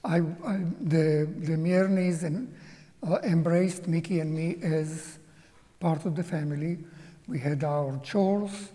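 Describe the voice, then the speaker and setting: Slow, mellow-voiced older man with reverb. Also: on the high side. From Czechoslovakia. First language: Hungarian. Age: 70s. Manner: slow, halting and reflective. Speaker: interview guest. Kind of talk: personal testimony. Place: museum auditorium.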